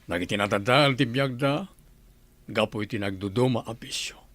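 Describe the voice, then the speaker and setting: A man's voice: mid-range voice, moderate pace, fairly bright tone, close to an everyday voice. A man playing an earnest middle-aged father and soldier with political hopes in a radio drama. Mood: grave.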